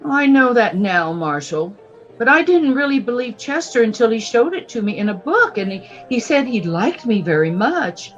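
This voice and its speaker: A woman's voice: on the high side, big pitch swings, moderate pace, muffled recording, soft background music. An actress playing a naive, polite young shopgirl in her twenties, earnest and plaintive, in a radio western. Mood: meek, earnest. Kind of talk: old-time radio western acting.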